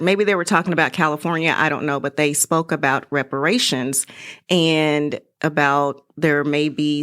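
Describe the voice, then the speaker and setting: A woman's voice: sits low, a bit bright. A woman talks as a guest in a studio interview. Her delivery is casual and conversational, like someone recounting an anecdote to the host. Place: podcast studio.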